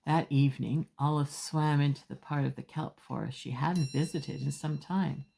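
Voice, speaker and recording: A woman's voice: sits low, steady tone, normal speed, vocal fry, mellow, husky. A woman storyteller reading a children's picture book aloud expressively, with emphatic phrasing and small asides to young listeners.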